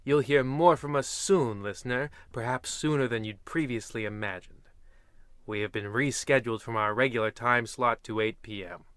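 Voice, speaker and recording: Man acting as old-time radio mystery host, bright forceful voice, theatrical, droll, dry close sound. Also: mid-range voice, moderate pace, smooth, dry recording, lightly acted. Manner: theatrical, tongue-in-cheek.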